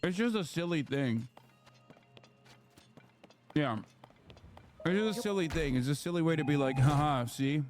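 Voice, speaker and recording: A man's voice: on the high side, unhurried, long pauses, darkish tone, faint music behind. A man, a streamer giving blunt, profane, mocking reaction commentary. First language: Turkish.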